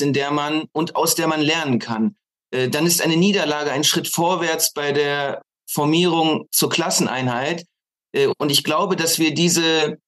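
A man with a somewhat high-pitched voice speaks as a guest in a political discussion. He picks his phrases deliberately, halting as he goes, and stresses points in enumerations. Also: a bit bright. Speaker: podcast guest, author.

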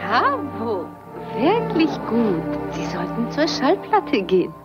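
A refined cartoon lady cat, high and lilting, coquettish and gracious. She takes her time in a dull old recording, with music behind her. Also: wildly swooping pitch, slow, full character voice. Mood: gracious, flirtatious. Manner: gracious, gently flirtatious. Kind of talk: animated film audio play dubbing.

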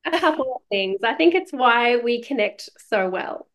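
An Australian woman hosts a casual podcast chat. Her voice is a little higher than average, and she speaks in an informal, conversational way. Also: lively intonation, normal speed. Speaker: podcast host.

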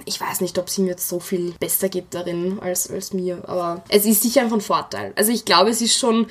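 A woman's voice: fairly low pitch, fairly even intonation, moderate pace, husky. A young woman, talking casually and laughing often as an interviewee. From Austria.

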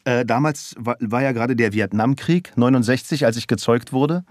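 A man's voice: mid-range voice, normal speed, dry recording. A man in his fifties from Germany, a guest on a talk show, telling a personal story openly with many fillers. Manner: open, relaxed storytelling with frequent fillers. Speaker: podcast guest, voice actor. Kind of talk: casual interview chat.

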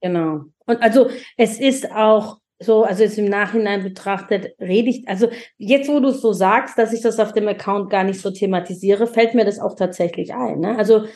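A woman's voice: mid-range voice, moderate pace, hardly any pauses. A woman in her forties from Germany, a podcast guest telling her own story in an open, emotional way with many restarts. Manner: expressive, emotional, frequent restarts. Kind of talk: candid personal storytelling.

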